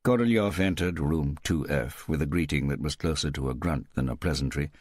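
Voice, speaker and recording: A man reading a novel as an audiobook narrator in a measured storytelling manner. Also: very deep voice, darkish tone, dry recording, clean studio sound.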